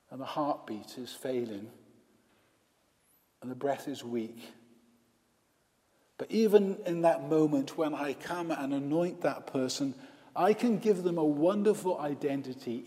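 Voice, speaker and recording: A male priest and hospital chaplain preaching a baptism sermon, slow and emphatic, with repeated lists. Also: mid-range voice, dark tone. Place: church with long reverb. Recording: reverberant church hall.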